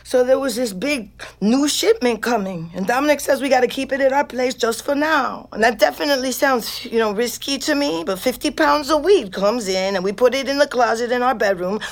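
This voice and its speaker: A woman's voice: a little high, brisk, few pauses, natural voice. An actress playing a bitter, foul-mouthed woman just out of prison, in naturalistic audio drama with slangy, emphatic delivery. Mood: resigned, explaining. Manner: streetwise, slangy, profane, emphatic.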